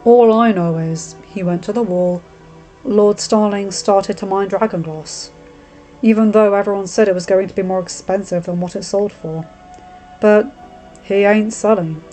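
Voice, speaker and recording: A woman's voice: medium pitch, steady tone, normal speed, dark tone, soft background music, lightly acted. Woman doing a plain-spoken young smith, terse and blunt, near her own voice. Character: gruff young lowborn male blacksmith, reserved and blunt.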